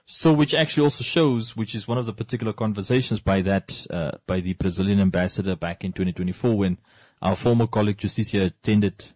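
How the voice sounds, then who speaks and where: A man hosting a relaxed studio talk show, his voice sitting in a deep bass register. He explains at length and climbs higher when he presses a point. The sound is dry and close, with almost no room in it. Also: fast, hardly any pauses, dry recording. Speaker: radio show host. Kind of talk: casual studio discussion. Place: broadcast studio.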